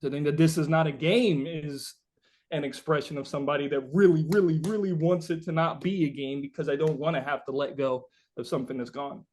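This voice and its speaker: A man's voice: on the high side, fairly even intonation, fairly quick, a little mellow. A man being interviewed as a musician, talking reflectively and philosophically, circling back and repeating his phrases as he goes. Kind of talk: reflective, rambling interview conversation.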